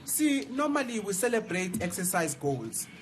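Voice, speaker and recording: A man's voice: high voice, sing-song, moderate pace, a little hiss or hum. A South African man giving playful scripted narration as a news reporter.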